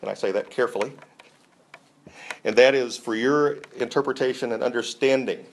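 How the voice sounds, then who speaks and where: A man's voice: medium pitch, normal speed. An enthusiastic man teaching a Bible class, talking conversationally with frequent restarts and fillers.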